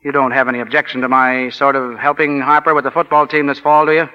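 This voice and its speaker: A man's voice: mid-range voice, flat delivery, quick pace, highs cut off, natural voice. An actor playing an eager, earnest young college man in 1940s radio drama style, plain-spoken and self-effacing, on a vintage broadcast recording. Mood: earnest, modest. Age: young adult. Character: eager young college football player turned chemistry teacher, earnest.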